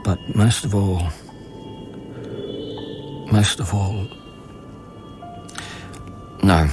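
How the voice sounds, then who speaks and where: A man's voice: fairly low pitch, very slow. An actor playing a reflective, troubled scientist narrating in a radio play, pensive and hesitant in his phrasing. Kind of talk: radio drama monologue. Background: music.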